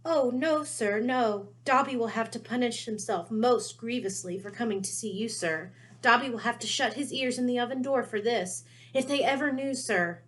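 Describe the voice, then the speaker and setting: A woman voicing a small, servile, fearful house elf with a squeaky, pleading voice in a storybook reading. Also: moderate pace, hardly any pauses, fairly bright tone, lightly acted. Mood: anxious, servile. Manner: pleading, refers to self in third person.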